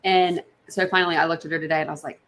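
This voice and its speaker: A woman's voice: deep voice, flat delivery, racing, fairly bright tone. A woman hosting a casual livestream, reacting to videos in a rambling way, repeating herself and talking straight to her viewers. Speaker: livestream host.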